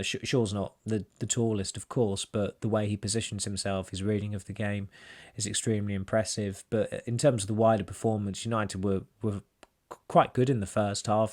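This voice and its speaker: Hoarse English football journalist on a podcast panel, halting delivery, low monotone. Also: male voice. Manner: hesitant, repeats words while speaking. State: hoarse, recovering voice. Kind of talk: casual podcast discussion.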